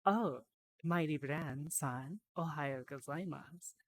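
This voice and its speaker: A woman live-streaming in a VR headset, chatting casually and reading out phrases. She sounds tired and a little hoarse, like she is losing her voice. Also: low voice. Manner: chatty, reads aloud slowly, laughs easily. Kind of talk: casual live-stream chat while learning Japanese. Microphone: VR headset mic.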